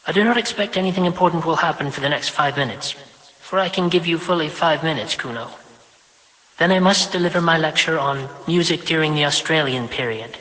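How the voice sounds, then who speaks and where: A man's voice: a little high, fairly quick, bright, forceful tone, voice pushed up. A man reading an audiobook, voicing an irritable, fussy middle-aged mother in a raised, prim, clipped way. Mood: impatient. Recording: long reverb.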